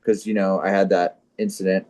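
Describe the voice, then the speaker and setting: A man's voice: low voice, level tone, a little fast, darkish tone, close to an everyday voice. A man playing a middle-aged struggling actor in a comedy, boastful and defensive, talking in a hesitant, rambling, earnest way. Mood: earnest self-justifying. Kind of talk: improvised-sounding comic dialogue.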